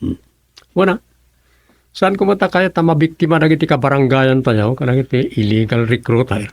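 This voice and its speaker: A man's voice: mid-range voice, steady tone, normal speed, darkish tone, no room sound, natural voice. Earnest Ilocano village man played by an actor, dry sound. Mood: concerned. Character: concerned, protective village man guarding neighbours from recruiters. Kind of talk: Ilocano radio comedy drama acting.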